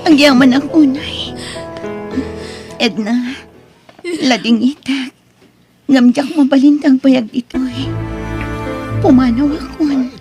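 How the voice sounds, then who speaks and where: An actress voices a wronged wife with a high-pitched voice and an Ilocano accent. She sounds plaintive and imploring in a radio-style melodrama. Music plays beneath her. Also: takes it slowly, long pauses. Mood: grateful, sorrowful. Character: Ivy, wronged, long-suffering wife, tearful and pleading. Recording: music under the voice.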